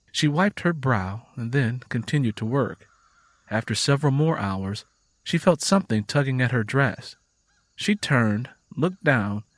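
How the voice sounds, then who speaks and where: A man's voice: mid-range voice, no room sound. A man reading a dramatic audiobook story as its narrator, in scripted prose.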